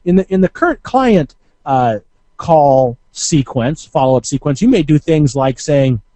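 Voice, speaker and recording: A man giving informal instructional talk as a marketing coach, conversational and halting, often hesitating and correcting himself. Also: a little high, expressive, warm tone, dry recording.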